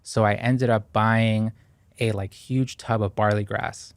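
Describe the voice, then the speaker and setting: Earnest, thoughtful man with a low voice. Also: fairly even intonation, unhurried. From Ecuador. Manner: earnest, thoughtful, with frequent fillers and restarts. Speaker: guest. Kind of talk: reflective interview talk.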